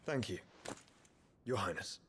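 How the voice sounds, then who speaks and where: An actor playing a gentle, humble nobleman in a video game, courteous, soft and breathy. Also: fairly low pitch, animated, measured pace, slight background noise. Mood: contrite, grateful. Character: humble, gentle nobleman bearing family guilt. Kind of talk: dramatic video game cutscene acting.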